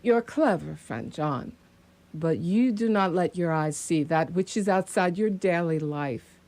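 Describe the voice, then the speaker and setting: A woman playing a grave, insistent male professor in a radio play, with deliberate, formal phrasing. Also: lowish voice, lively intonation, a little mellow. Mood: grave, ominous.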